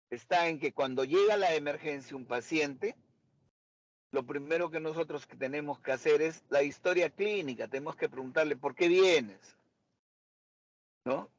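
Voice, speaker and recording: A male doctor leads an interactive seminar, firing questions at his students. His voice is gravelly and a little husky. The sound is dry and slightly dull, as over an online call. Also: fairly high pitch, dry recording. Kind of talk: interactive medical lecture.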